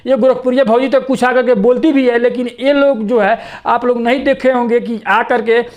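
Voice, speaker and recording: A man's voice: very high-pitched, fairly even intonation, normal speed, highs cut off. A man giving informal, opinionated news commentary to viewers in a repetitive, insistent way.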